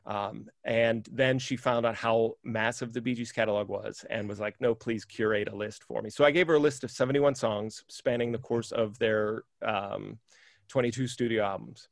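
A man's voice: lowish voice, fairly even intonation, moderate pace, vocal fry, no room sound, clean studio sound. A man hosting a casual music podcast, rambling enthusiastically with lots of fillers. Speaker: podcast host.

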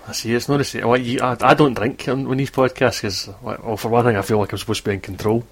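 A Scottish man hosting a football podcast, chatting in a relaxed way and asking his guests questions. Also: mid-range voice, quick pace, breathy edge. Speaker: podcast host. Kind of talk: casual chat.

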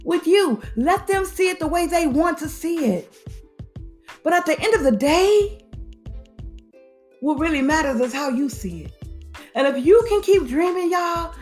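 A woman hosting a motivational talk show, preaching with repeated phrases and slangy asides. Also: high voice. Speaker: podcast host.

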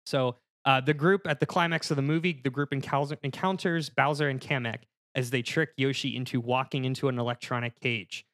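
A man on a casual panel show pitching a comedic movie scene by scene, in a halting, mock-formal narrating manner. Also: fairly even intonation, a bit bright, dry recording, studio quality.

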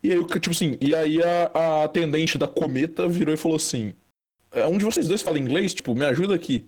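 A man's voice: fairly high pitch, a little fast, darkish tone. A young man from Brazil telling a long, rambling anecdote in casual chat, full of fillers and restarts. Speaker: storyteller guest.

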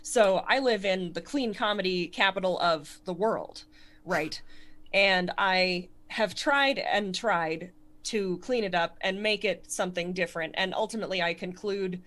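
A young woman with a Connecticut accent talks fast in a casual interview. She is a stand-up comedian who crams many words into each breath and often restarts her sentences. Her tone is self-deprecating. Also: medium pitch, a bit bright. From Connecticut, USA.

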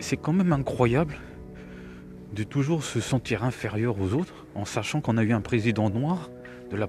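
A middle-aged man with a cold gives rambling, scornful commentary, often repeating his words. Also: mid-range voice. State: has a cold. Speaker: video commentator. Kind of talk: opinionated reaction commentary.

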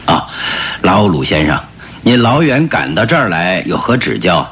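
Low-voiced, courteous older marquis. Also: male voice, sing-song, moderate pace, fairly bright tone, husky, exaggerated voice. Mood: courteous, reserved. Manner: polite, hesitant interjections. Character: gentle, courteous grey-haired aristocratic marquis, a father. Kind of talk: radio drama acting.

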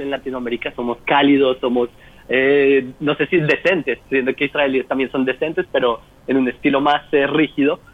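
A man, answering interview questions earnestly and with conviction. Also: mid-range voice. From Colombia, Bogotá. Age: 20s. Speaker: interview guest. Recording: tinny phone line.

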